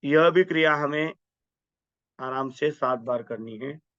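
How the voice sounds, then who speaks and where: A man's voice: mid-range voice, slow pace, muffled recording, heavy background noise. A man leading a guided meditation with prayers, speaking in a reverent, instructional manner.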